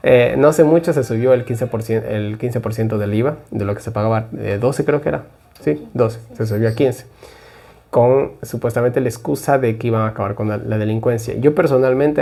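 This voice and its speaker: A man's voice: medium pitch, creaky, darkish tone. A man from Ecuador giving an informal Bible prophecy talk, rambling and conversational with frequent asides.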